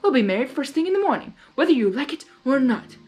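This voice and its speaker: A short-tempered trickster monster suitor, acted out by a woman for a storybook. He is demanding and petulant, delivering lines theatrically with lively swings in pitch. Faint music sits behind the voice. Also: fast talker. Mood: defiant, commanding.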